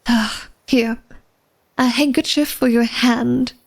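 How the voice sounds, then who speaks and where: A woman's voice: on the high side, fairly even intonation, takes it slowly, creaky, exaggerated voice. A woman playing an elegant, secretive vampire lady of a manor in a flirtatious ASMR roleplay, speaking formally and coyly to the listener. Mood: coy, evasive. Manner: formal, genteel, coy, teasing speech addressed to the listener.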